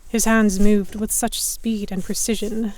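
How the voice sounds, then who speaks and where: A woman's voice: medium pitch, flat delivery, a little slow, darkish tone, a little hiss or hum, low-quality recording. A woman narrating an audio drama in the first person and acting out the dialogue. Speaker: narrator and lead actor.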